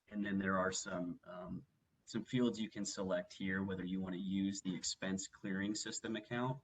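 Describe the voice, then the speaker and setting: Low, husky man presenting training. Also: poor audio. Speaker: software trainer presenting a webinar. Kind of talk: instructional software walkthrough, semi-scripted presentation.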